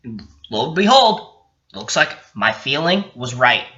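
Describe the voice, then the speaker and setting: A man's voice: mid-range voice, sing-song, a little slow, sharp tone. A man giving a casual, rant-like commentary as a vlogger, swearing often and sounding indignant and sarcastic. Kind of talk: casual rant-like commentary while reading a report. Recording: dull lo-fi sound.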